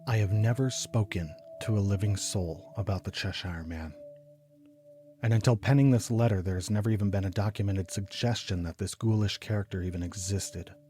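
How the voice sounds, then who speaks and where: An actor playing a brooding, obsessive homicide detective, narrating a noir audio drama in a clinical, deliberate manner. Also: male voice, lowish voice, dark tone, slight background noise, natural voice. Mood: haunted, brooding. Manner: clinical, deliberate, measured delivery. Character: brooding, obsessive male homicide detective recounting grim cases.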